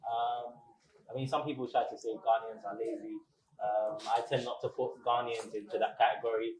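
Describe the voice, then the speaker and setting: A man, a restaurant owner answering interview questions in a relaxed, measured way with many pauses. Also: medium pitch. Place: small restaurant. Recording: roomy echo.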